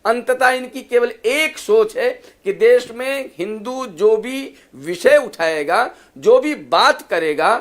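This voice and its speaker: A man's voice: extremely high pitch, lively intonation, slow, few pauses. A man speaking as a panelist in a political TV debate, emphatic, repetitive and accusatory. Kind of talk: polemical political debate speech.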